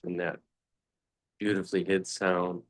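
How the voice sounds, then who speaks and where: A man giving a guided meditation talk as a teacher, speaking contemplatively in short phrases with long pauses between them. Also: deep voice, flat delivery.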